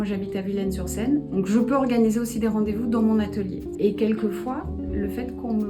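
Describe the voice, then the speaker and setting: A middle-aged woman with a mid-pitched voice presents her small boutique in a friendly, chatty way, pausing on fillers as she goes. Acoustic guitar music plays clearly under her voice. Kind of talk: promotional shop presentation.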